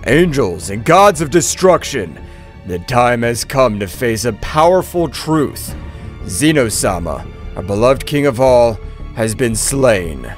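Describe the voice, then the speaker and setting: A man voicing a calm, solemn high priest who speaks in a grave, measured, formal way, in a fan fiction story. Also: faint music behind, natural voice.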